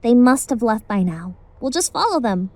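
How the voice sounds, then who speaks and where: Woman acting young adventurer girl, high-pitched, hopeful, dry recording, audio story. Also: sing-song, normal speed, darkish tone, dry recording. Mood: hopeful, excited. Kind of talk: amateur audio drama acting.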